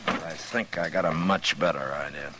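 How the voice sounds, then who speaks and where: An actor playing a stern, steady frontier marshal with an American accent in a 1950s radio western, terse and firm. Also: male voice, extremely low pitch, moderate pace. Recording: hissy vintage radio recording.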